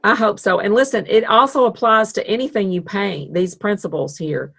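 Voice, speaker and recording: A woman's voice: mid-range voice, moderate pace, gravelly, a bit bright. A woman teaching an online art class over a video call, chatty and upbeat with self-deprecating asides. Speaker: art instructor.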